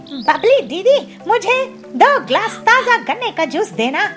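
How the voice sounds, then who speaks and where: A woman acts a friendly young male bird from a children's cartoon. He talks in a very high, lively voice with a polite, pleading manner. Clear music runs underneath. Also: a little slow, exaggerated voice. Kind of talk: children's cartoon story voice acting.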